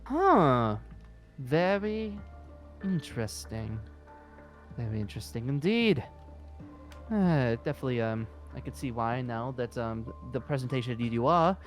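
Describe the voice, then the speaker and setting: A man's voice: mid-range voice, very animated, unhurried, soft background music. A man playing a polite, flustered, centuries-old dragon slayer guild leader in an improvised fantasy roleplay, hesitant and apologetic. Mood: curious.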